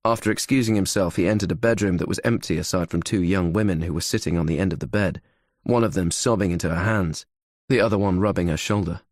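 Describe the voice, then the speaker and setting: Male audiobook narrator for suspense fiction, very low register, close dry sound. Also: fast talker, few pauses, darkish tone, no echo. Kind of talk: scripted thriller narration.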